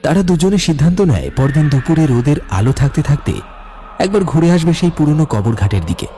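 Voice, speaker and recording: A man narrates a spooky cartoon tale with a lively, rising and falling delivery, keeping it hushed and suspenseful. His voice sits a little high, and music plays loudly underneath. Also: a little fast. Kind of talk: scripted horror story narration.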